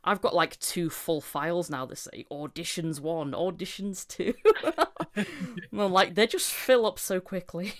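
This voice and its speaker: Female podcast host, low register, casual and chatty, giggly and self-deprecating voice actor talking shop. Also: a little slow, a bit bright. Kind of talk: casual podcast chat about voice acting work.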